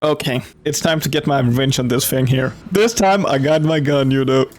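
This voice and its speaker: A man's voice: mid-range voice, normal speed. A man giving casual, enthusiastic commentary over gameplay, often repeating short phrases. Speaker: gaming video creator. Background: game music behind the voice.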